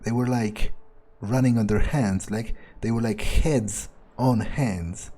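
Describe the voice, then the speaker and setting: A man's voice: fairly low pitch, takes it slowly, warm tone. A man playing a cold, thrill-seeking vampire vigilante, musing aloud in a sardonic way as he narrates a game. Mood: puzzled musing. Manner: musing, hesitant, sardonic, swearing. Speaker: gamer role-playing a character in a playthrough.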